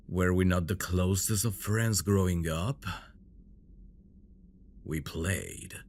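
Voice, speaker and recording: A very deep-voiced dragon prince, acted by a man, obsessive and menacing, in an intimate fantasy audio roleplay. He broods slowly and possessively, with a gravelly rasp and wide swings in intonation. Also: darkish tone, a little hiss or hum, exaggerated voice. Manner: slow, brooding, menacing endearments, dropping into whispers. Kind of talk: ASMR roleplay, dramatic monologue.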